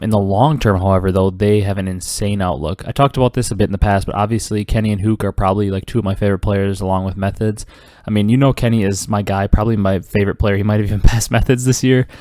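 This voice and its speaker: Congested young man, fast solo podcast. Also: lowish voice, dry recording, clean studio sound. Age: 20s. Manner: rambling, filler-heavy, excited esports talk. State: congested with a cold. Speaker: podcast host. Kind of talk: casual solo podcast commentary.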